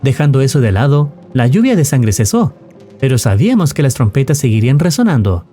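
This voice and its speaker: A man giving scripted narration of a horror story recap in a formal, measured storytelling manner. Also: medium pitch, big pitch swings, dark tone. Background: music.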